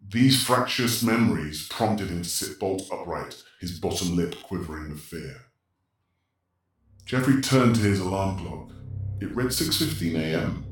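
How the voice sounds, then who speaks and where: An actor playing a grave storyteller, delivering scripted dramatic narration for a horror audio drama. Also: male voice, low voice, lively intonation, measured pace. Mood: grave, suspenseful. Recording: very echoey, distant, music behind.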